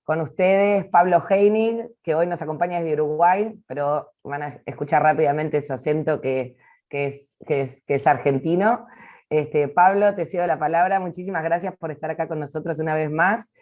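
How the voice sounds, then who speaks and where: A woman's voice: low voice, normal speed, darkish tone. A woman giving a formal welcome as host of an online event and introducing a guest speaker.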